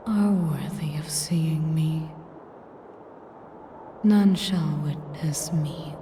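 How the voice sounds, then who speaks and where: A woman playing an ancient, sadistic female air dragon in a dramatic audio roleplay, breathy and whispery, speaking deliberately with haughty, menacing condescension. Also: low voice, background noise. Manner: slow, deliberate, condescending, taunting. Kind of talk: dramatic scripted roleplay monologue.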